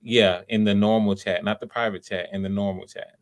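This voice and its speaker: A man's voice: lowish voice, fairly even intonation. A man hosting auditions on a live video call, chatting casually and encouragingly, often repeating himself as he goes. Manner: effusive encouragement, repeats phrases, halting. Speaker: audition host, writer-director. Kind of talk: casual live-stream chat.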